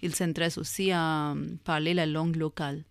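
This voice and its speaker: A woman's voice: low-pitched, level tone, brisk, clean tone, no room sound. A woman, a Hindi speaker, speaking as a guest in a casual radio interview, hesitant with many fillers and restarts. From India. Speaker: guest, association founder.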